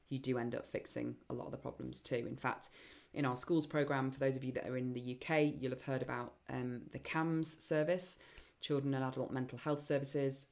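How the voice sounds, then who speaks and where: A woman hosting a live online Q&A session, chatty and enthusiastic, with many ums and a voice getting croaky. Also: rumbling low, darkish tone. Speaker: webinar host and program founder.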